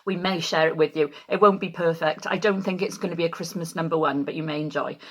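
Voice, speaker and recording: Chatty Welsh woman with a husky voice. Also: deep voice, fairly even intonation, fast talker. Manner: chatty, self-deprecating, many ums. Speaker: choir leader. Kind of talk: casual chat to camera. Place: at home. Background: faint keyboard.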